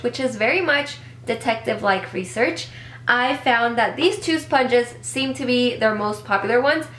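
A woman's voice: on the high side, a bit bright. A young woman in her twenties reviews products in a loose, upbeat ramble, correcting herself as she goes. Her voice is a little congested from a cold. She is recorded in a small, echoey home room with a faint hum underneath. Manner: chatty, upbeat, rambling with fillers and self-corrections. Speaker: beauty vlogger. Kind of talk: casual product review to camera.

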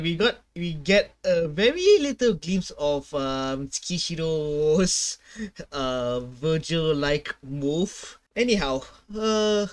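A young adult man giving casual, slangy reaction commentary as a gaming video host, on a headset in a bedroom. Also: on the high side. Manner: slangy, exclamatory. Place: small bedroom. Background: game music.

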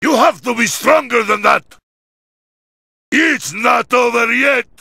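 A massive, fierce Russian wrestler from a fighting game. He shouts his boasts and battle cries in a deeply gravelly voice with a Russian accent, pushed high with strain. The delivery is bombastic and taunting. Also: slow pace, no echo, studio quality, heavily acted. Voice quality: gravelly, raspy.

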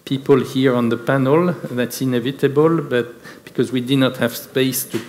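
A man's voice: mid-range voice, fairly even intonation, moderate pace, a little mellow. A man moderating a conference panel, speaking with many fillers and restarts. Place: large conference hall with audience. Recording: reverberant conference hall.

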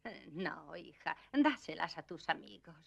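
An actress playing a kind, gentle old grandmother in a dubbed children's cartoon, reassuring and affectionate. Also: deep voice, expressive, measured pace, creaky, breathy edge, exaggerated voice. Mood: tender.

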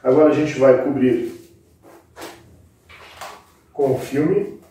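A man's voice: medium pitch, steady tone, slow, dark tone, a little hiss or hum. Echoey Brazilian man giving cooking instructions. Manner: informal, instructive, addressing viewers directly. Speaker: cooking show host. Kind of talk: casual step-by-step recipe demonstration. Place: indoor kitchen set with brick wall. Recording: very echoey room, distant microphone.

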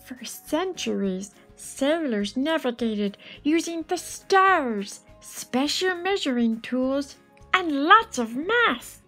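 A woman's voice: high-pitched, big pitch swings, slow, sharp tone, soft background music, heavily acted. A woman voicing a friendly, enthusiastic space alien who patiently explains math in a picture book read-aloud. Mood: upbeat, explaining.

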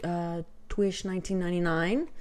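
A woman's voice: lowish voice, steady tone, moderate pace, darkish tone. Chatty woman with a light Texas accent. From Texas, USA. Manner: chatty, self-deprecating, filler-heavy, drops to whispers. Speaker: vlogger. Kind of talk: casual webcam vlog. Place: small home room with shelves, indoors. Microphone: webcam at desk.

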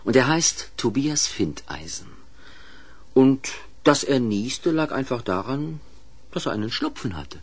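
A man narrating a children's audio play in an expressive, scripted storytelling style with pointed pauses. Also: medium pitch, husky.

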